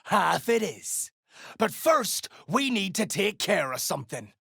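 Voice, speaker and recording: Fantasy game gang boss, female duergar: growling, slangy, menacing, unhurried, with very animated pitch swings. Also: no room sound, clean studio sound, full character voice. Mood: gruff, scheming. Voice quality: gravelly, growling. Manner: gruff, crude, slangy, threatening. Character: foul-mouthed, menacing duergar mercenary gang boss, greedy for coin. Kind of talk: video game character dialogue.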